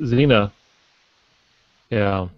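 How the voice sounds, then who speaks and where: An older man chatting casually as a podcast guest, telling anecdotes and pausing to search for words. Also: fairly low pitch, over a noisy crowd. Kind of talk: casual panel talk, anecdotes. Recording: dull lo-fi roomy online audio.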